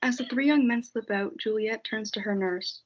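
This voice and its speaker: A woman's voice: fairly high pitch, normal speed. A young woman reading scripted narration for a student reader's theatre play. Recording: dull audio, loud background noise.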